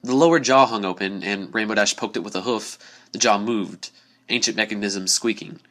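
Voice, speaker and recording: Guy doing dramatic horror story narration, lowish bright voice. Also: normal speed. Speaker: story reader.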